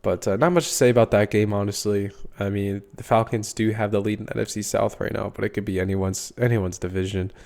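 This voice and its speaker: Young male podcast host, stuffy nose, lower register, loose filler-heavy sports chat. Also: flat delivery, fairly quick, vocal fry, hardly any pauses, darkish tone. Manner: rambling, filler-heavy, swears casually. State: congested. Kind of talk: casual solo sports talk.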